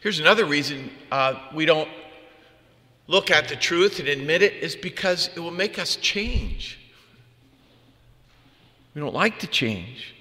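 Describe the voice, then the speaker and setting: Male priest, higher-pitched and forceful, conversational homily delivery in a big reverberant space. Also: big pitch swings, a little slow, pauses often. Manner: conversational, plain-spoken, rhetorical questions. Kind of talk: homily with personal anecdotes. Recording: long reverb of a large room.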